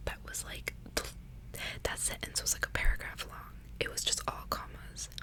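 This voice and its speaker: Woman whispering softly in breathy ASMR. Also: normal speed. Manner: casual, rambling, frequent filler "like". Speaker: ASMR host reading a book aloud. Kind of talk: whispered ASMR reading and casual chat. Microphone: close ASMR microphone.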